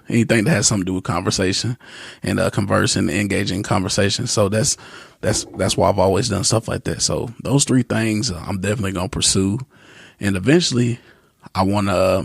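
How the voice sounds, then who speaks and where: Gravelly-voiced young man, easygoing. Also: lowish voice, no echo, studio quality. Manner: easygoing, many fillers and restarts. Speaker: podcast guest. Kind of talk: casual interview talk.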